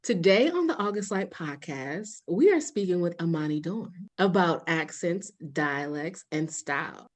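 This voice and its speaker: A young woman hosting a casual interview podcast, playful and quick to laugh. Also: on the low side, expressive. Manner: laughs often, playful asides. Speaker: podcast host.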